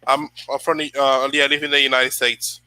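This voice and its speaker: A man's voice: medium pitch, little pitch movement, a little fast, pushed and bright, clean tone, no echo, rough recording. A young man from the Dominican Republic hosting a casual motivational livestream, rambling with many fillers and restarts while he answers viewers. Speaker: channel host.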